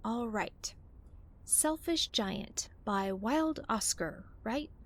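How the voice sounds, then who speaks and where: A wry young woman chats in a slightly high voice. She is offhand and teasing, with the informal delivery of a conversational radio play. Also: expressive, extremely slow, exaggerated voice. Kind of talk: casual conversational audio drama acting.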